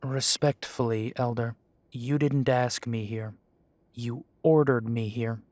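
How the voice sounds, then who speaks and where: A defiant young werewolf, voiced by a man, sarcastic and overly polite to the elders. He speaks very slowly and deliberately. The recording is dry and close, made for an audio drama. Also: mid-range voice, steady tone, a little mellow, no room sound, lightly acted. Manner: slow, dry, mocking politeness.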